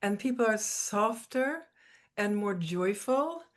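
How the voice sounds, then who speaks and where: A woman with a medium-pitched voice co-hosts an interview. She talks in a casual, conversational way, as a teacher of the course. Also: lively intonation, slow.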